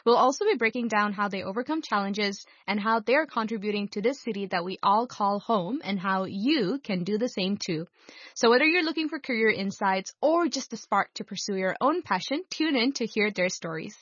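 A woman from Pakistan who grew up in Hong Kong, hosting a radio show with a clear, upbeat scripted delivery. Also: medium pitch, expressive, normal speed, a bit bright, no room sound. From Pakistan, raised in Hong Kong. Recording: dry, slightly dull studio sound.

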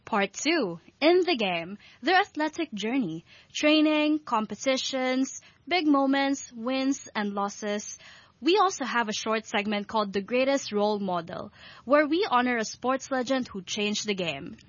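Higher-voiced woman hosting, dry dull recording. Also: animated, measured pace, no echo. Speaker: podcast host and swimmer. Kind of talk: scripted hosting with light banter.

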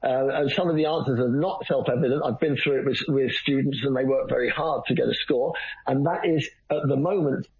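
A man's voice: medium pitch, fairly even intonation, fairly quick, slightly rough, lo-fi sound. A man talking as a radio guest in casual conversation, wry and rambling, with many fillers and restarts.

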